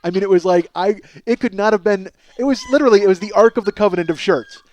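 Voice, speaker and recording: High-pitched man, animated comic storyteller. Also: fast. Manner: animated, exaggerating, acts out both sides of conversations. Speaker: podcast host. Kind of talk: comedic storytelling monologue, casual and profane.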